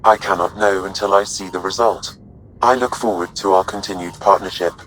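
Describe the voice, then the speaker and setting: Low-voiced, formal ship's artificial intelligence. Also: male voice, fairly even intonation, fairly bright tone, slight background noise, poor audio. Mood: calm, reflective. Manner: measured, formal, precise phrasing. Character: calm, courteous male artificial intelligence aboard a spaceship. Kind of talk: video game voice acting.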